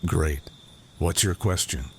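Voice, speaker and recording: A man playing a gruff, menacing gang leader in an audio comic, giving curt, commanding lines in a gravelly voice. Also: deep voice, slow, darkish tone, exaggerated voice. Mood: cold menace. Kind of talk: audio comic dramatic acting. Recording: clearly noisy track with night crickets.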